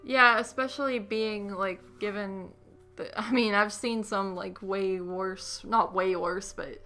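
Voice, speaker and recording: Creaky, bright-voiced woman chatting about movies. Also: mid-range voice, fairly even intonation, slow pace, faint music behind. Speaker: movie podcast co-host. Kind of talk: casual movie chat.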